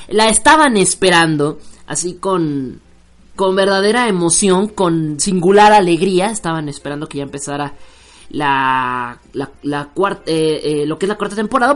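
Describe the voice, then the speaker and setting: A man's voice: high-pitched, fairly bright tone. Rambling, jokey male show host. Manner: rambling, jokey, many fillers and restarts. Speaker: online radio show host. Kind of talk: casual live show chat with listener shout-outs.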